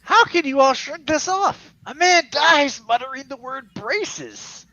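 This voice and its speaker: High-voiced, slow-paced theatrical man. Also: lively intonation, sharp tone, muffled recording. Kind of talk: casual comic-book read-aloud.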